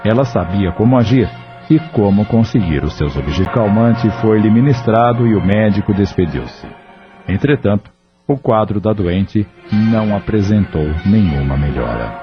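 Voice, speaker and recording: A man narrating an audio novel in a measured, grave manner. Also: fairly low pitch, lively intonation. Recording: muffled lo-fi audio with music.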